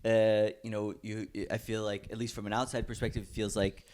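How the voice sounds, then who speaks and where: A man's voice: on the low side, little pitch movement, brisk, a little mellow, clear, resonant tone. A man hosting a comedy podcast, asking questions in a casual, joking way. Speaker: podcast host. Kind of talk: casual interview chat.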